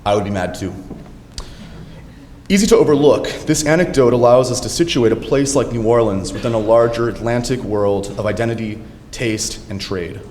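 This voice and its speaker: A young man giving an academic lecture in a friendly, measured way, with occasional fillers. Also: mid-range voice, a little mellow. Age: young adult. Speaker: lecturer, doctoral candidate. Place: lecture hall. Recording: lecture hall reverb, faint noise.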